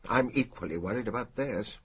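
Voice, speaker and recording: An actor playing an irascible senior British spy chief in a radio drama, brusque and commanding, with an English accent and a husky voice. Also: male voice, lowish voice, a little fast, fairly bright tone. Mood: gruff, explanatory. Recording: dull, muffled radio drama recording.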